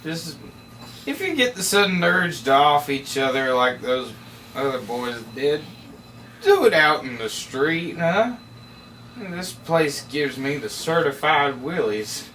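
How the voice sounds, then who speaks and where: A man's voice: fairly high pitch, sing-song, slow, pushed and bright, full character voice. A man playing a nervous, slangy night watchman at a script table read. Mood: uneasy. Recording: distant mic, noisy room.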